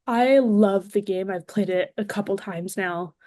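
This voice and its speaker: A woman's voice: medium pitch, measured pace, dark tone, dry recording. A woman chatting casually as a guest on a podcast. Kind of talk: casual chat.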